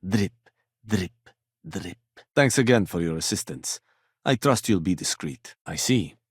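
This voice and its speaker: A deep-voiced, fussy corporate stickler. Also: male voice, expressive, a little slow, breathy edge, dry recording, clean studio sound, exaggerated voice. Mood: dry disdain. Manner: precise, formal, clipped, dryly condescending. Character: fussy, punctual, condescending male corporate office worker. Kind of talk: video game voice acting.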